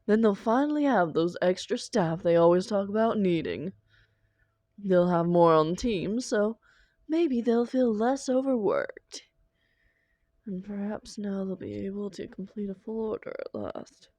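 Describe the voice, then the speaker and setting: Woman acting a mellow, slow-talking, doting supervillainess. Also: medium pitch, animated, no room sound. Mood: evasive, justifying. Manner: theatrical, coaxing, endearments, dramatic pauses. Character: female supervillain, doting, defensive, slyly unrepentant lover. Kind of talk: intimate roleplay audio drama.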